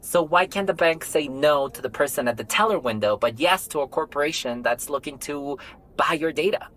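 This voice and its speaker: A man's voice: a little high, animated, brisk, fairly bright tone. A man playing an exasperated bank IT worker in a podcast drama, explaining things insistently. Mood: indignant. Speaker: actor in an audio drama. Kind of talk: acted podcast drama dialogue.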